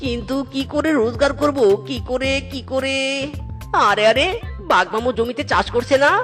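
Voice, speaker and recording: A woman plays a cheeky young male fox out of a cartoon, high in pitch and forever scheming for money. He wheedles and exclaims theatrically. Music plays under the voice. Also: normal speed, fairly bright tone. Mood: eager, wheedling. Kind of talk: animated cartoon dialogue.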